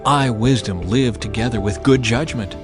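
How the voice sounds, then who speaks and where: A man's voice: medium pitch, moderate pace, close to an everyday voice. A man voicing Wisdom, an ancient, commanding female spirit, in an earnest, declamatory dramatized scripture reading. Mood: proclaiming, urgent. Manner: declamatory, proclaiming to a crowd. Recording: music behind the voice. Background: music.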